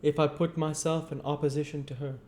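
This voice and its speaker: A man's voice: fairly high pitch, flat delivery, fairly quick, a little mellow, pitched above the performer's own voice, close to an everyday voice. A man voicing a kindly, uneducated country man from a novel, earnest and argumentative, with rustic, emphatic phrasing. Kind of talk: audiobook character voice.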